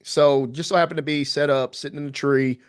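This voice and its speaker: A man's voice: a little high, steady tone, a little fast, clear, resonant tone. Easygoing man telling a story. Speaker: guest, filmmaker. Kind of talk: casual storytelling.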